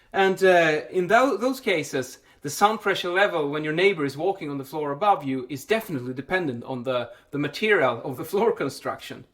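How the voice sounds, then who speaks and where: A man with a somewhat high-pitched voice talks as a vlog host, explaining in an informal way. He thinks aloud, repeating words and correcting himself along the way. The voice sounds a little distant from the microphone. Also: a bit bright. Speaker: vlog host and building acoustics specialist answering a question.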